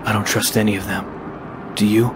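A man's voice: fairly low pitch, flat delivery, brisk, background noise, natural voice. A man acting a brooding masked vigilante detective in an audio drama, grim and clipped. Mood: guarded.

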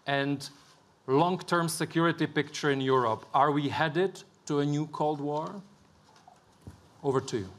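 Brisk, slightly high-voiced male moderator. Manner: brisk, poses direct questions. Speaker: panel moderator. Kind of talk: moderating a panel discussion. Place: large conference stage.

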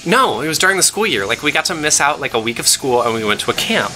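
A man's voice: fairly high pitch, very animated, fast talker, pushed and bright, soft background music, low-quality recording. A young man from Pennsylvania telling a rambling story with lots of fillers while co-hosting a game playthrough. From Pennsylvania, USA. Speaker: let's play co-host. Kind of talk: casual chat over gameplay.

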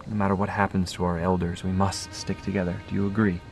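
A man's voice: low voice, little pitch movement, quick pace, over music, rough recording. A man playing an earnest, intense young man in an audio drama, solemn and persuasive as he rallies his companions. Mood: solemn, urgent.

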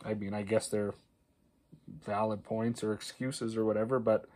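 A man's voice: lowish voice, little pitch movement, normal speed, a little mellow. A man in his thirties from Canada, a farmer talking casually into a phone camera in a small wood-paneled room, with plenty of fillers and restarts as he walks through prices. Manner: conversational, many fillers and restarts, stresses prices. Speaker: farmer and video host. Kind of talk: casual talking-head explainer. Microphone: phone camera at arm's length.

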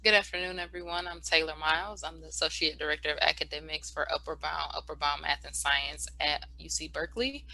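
Female academic director, deep voice with a forceful edge, friendly. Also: fairly even intonation, brisk, vocal fry, hardly any pauses, no echo, low-quality recording. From Detroit, Michigan. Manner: friendly, with many um fillers. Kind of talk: self-introduction on a video call. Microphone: video call.

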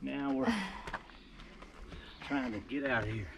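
A man's voice: mid-range voice, huge pitch swings, takes it slowly, creaky. An older man chatting casually, a bit winded, as he hikes a trail. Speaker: hiker in a couple's travel vlog. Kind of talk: casual chat while hiking. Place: outdoors on a forest and ridge trail. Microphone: handheld camera, a few steps away. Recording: noisy handheld outdoor camera audio.

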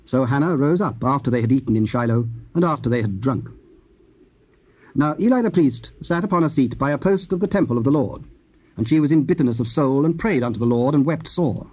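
Casting: male narrator, medium pitch, grainy husky tone, measured and solemn scripture reading, muffled tape sound. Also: lively intonation, dark tone. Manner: measured, formal. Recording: dull cassette tape transfer.

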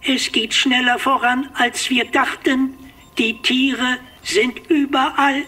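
An actor voicing a small robot companion that reports facts slowly and precisely in a radio play. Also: high-pitched, fairly even intonation, bright, forceful tone, faint music behind, exaggerated voice. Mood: matter-of-fact.

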